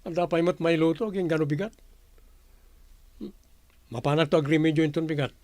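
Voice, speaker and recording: A man's voice: a little high, steady tone, fairly quick, a little mellow, dry recording, natural voice. A man acting a devoted, earnest young suitor and husband in an Ilocano radio drama, with a Filipino Ilocano accent. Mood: casual, concerned.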